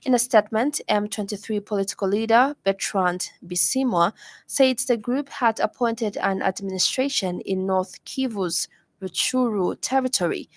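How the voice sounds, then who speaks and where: A woman's voice: mid-range voice, normal speed, no room sound. A woman with a Zambian accent reads a scripted news bulletin as a radio presenter in a studio.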